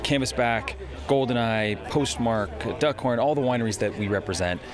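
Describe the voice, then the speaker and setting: A man in his forties, a winery executive, interviewed outdoors, halting with many filler sounds. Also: mid-range voice, crowd noise. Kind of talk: on-camera interview. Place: outdoors on a lawn.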